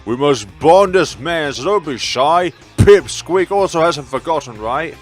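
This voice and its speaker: An excitable young visual novel character, acted by a man, pitched high and swooping around. He ribs his friends and gossips gleefully over faint music. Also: unhurried. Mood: teasing.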